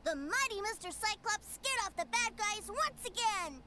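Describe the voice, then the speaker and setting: Excitable little boy, very high. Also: moderate pace. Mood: triumphant. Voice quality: bright, childlike. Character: naive, enthusiastic little boy, proud and stubborn. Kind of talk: anime video game voice acting.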